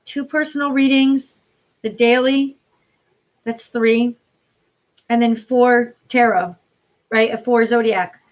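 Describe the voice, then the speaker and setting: Woman, hoarse, high voice, casual and profane, tarot livestream host. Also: steady tone, slow pace, pauses often, low-quality recording. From New York City area, USA. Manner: chatty, profane, greets viewers by name. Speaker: tarot card reader hosting a livestream. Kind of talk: casual live-stream chat.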